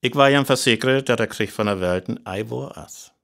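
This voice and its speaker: A man's voice: mid-range voice, moderate pace, gravelly. A man with a North Frisian accent giving a scripted closing address to radio play listeners. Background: soft music.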